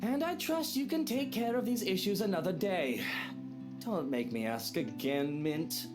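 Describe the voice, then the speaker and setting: Low-voiced commanding boss. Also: female voice, expressive, a little slow, music in the background. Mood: curt, insistent. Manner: commanding, curt.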